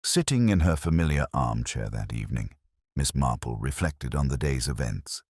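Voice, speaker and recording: A man narrating a scripted mystery story as an audiobook, in a measured, formal storytelling manner. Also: extremely low pitch, lively intonation, darkish tone, slightly rough, no echo, clean studio sound.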